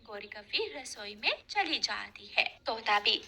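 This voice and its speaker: A woman narrates a cartoon story from a script. Her voice is high and strikingly bright and crisp-edged. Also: big pitch swings, a little slow.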